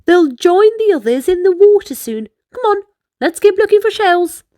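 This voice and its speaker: Woman voicing an eager, high-pitched little boy. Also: animated, normal speed, warm tone, dry recording, studio quality. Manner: eager, exclaiming. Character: excited, curious young boy on a beach adventure.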